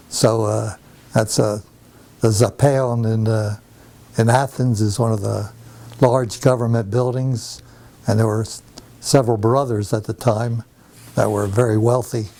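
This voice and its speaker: An older man from New Jersey with a mellow, warm voice reminisces about his past. He speaks unhurriedly, stopping often to gather his thoughts with small fillers. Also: lowish voice, fairly even intonation, a little slow. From New Jersey, USA. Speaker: interviewee. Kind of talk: oral history interview. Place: home.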